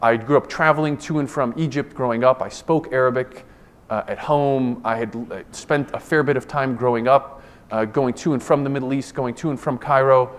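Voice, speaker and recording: A man in his forties from Ohio, giving a formal conference presentation as a government official. He speaks earnestly and deliberately, often restarting and repeating words. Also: medium pitch, steady tone. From Ohio, United States. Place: conference room.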